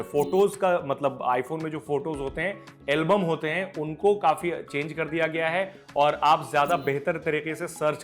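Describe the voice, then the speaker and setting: An Indian man presents tech news in a fairly high-set voice. He explains informally and off the cuff, dropping English words into his speech. Music plays behind him. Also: a bit bright. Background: background music.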